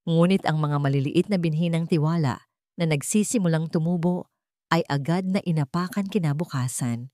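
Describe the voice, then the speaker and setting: A woman's voice: sits low, moderate pace, dark tone, no room sound, studio quality. A woman reading a scripted romantic drama as a story narrator.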